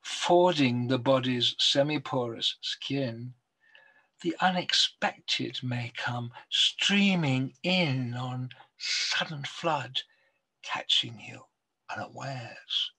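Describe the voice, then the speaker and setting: Very slow, husky man reciting poetry. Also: mid-range voice, bright, forceful tone, rough recording. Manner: deliberate, with long pauses between phrases. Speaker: poet reading own poems.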